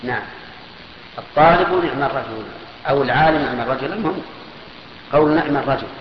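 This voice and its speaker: A man giving a grammar lesson, slowly parsing each sentence step by step and asking questions that he answers himself. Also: mid-range voice, crowd noise. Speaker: grammar teacher. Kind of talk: classical Arabic grammar lesson. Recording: echoey hall, dull lo-fi sound with faint noise.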